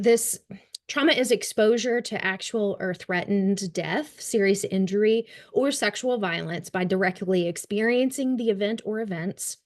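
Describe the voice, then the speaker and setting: A woman with a Kentucky accent giving a trauma-informed care webinar on a video call, chatty and full of ums and light laughs. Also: medium pitch. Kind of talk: webinar presentation.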